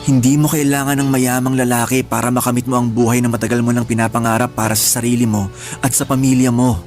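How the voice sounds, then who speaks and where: Male actor for sincere, persistent young suitor in radio romance; mid-pitched, pleading delivery over soft music. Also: level tone, fast, lightly acted. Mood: ardent, determined. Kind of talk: radio romance drama acting. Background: background music.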